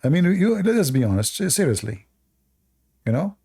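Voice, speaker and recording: A man's voice: fairly high pitch, very animated, normal speed, vocal fry, warm tone, slightly rough. A man hosting a live-stream debate, talking to the chat in a sarcastic, mocking way. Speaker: livestream debate host.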